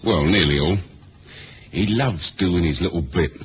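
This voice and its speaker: An actor playing a tough, wry British spy in a 1970s radio drama, speaking in a laconic, colloquial way. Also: male voice, deep voice, unhurried, breathy edge, exaggerated voice. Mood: wry, easygoing. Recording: dull, noisy old radio recording.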